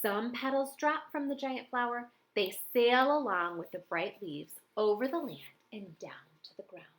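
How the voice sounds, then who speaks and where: A middle-aged woman reading a children's picture book aloud in an expressive way with dramatic emphasis. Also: fairly high pitch, normal speed. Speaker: storybook reader.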